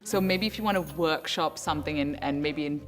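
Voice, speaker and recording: A woman with a low voice speaks as presenter and group leader, part documentary narration, part informal direction. Faint music plays behind her. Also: brisk. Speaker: youth programme leader and presenter.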